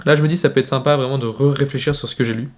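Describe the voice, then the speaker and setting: A man's voice: mid-range voice, steady tone, rapid-fire, darkish tone. A young man casually talking to camera in his bedroom, hesitant, with many fillers and restarts. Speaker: fitness vlogger. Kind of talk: casual vlog talk to camera.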